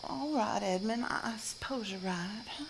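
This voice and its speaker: An actress playing a wistful, rapturous wife in a live stage drama, breathy and halting with emotion. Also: female voice, medium pitch, close to an everyday voice. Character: wistful, rapturous wife enchanted by a girl's apparition. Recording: live stage recording with background noise.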